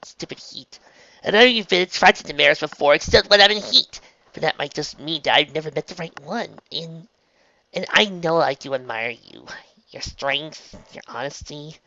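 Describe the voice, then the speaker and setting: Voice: medium pitch, lively intonation, pushed and bright, no room sound, dull audio, pitched above the performer's own voice. A narrator voicing an emotional young female unicorn in a storybook, stammering, apologetic and unsure of herself. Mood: hesitant, yearning. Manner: stammering, halting.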